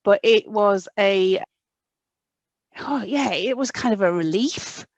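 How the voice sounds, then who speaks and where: A woman in her forties hosts a podcast, talking with a guest in a conversational interview style. Her pitch sits in the middle for a woman. The sound is dry, with very little room on it. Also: slow pace, no echo. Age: 40s.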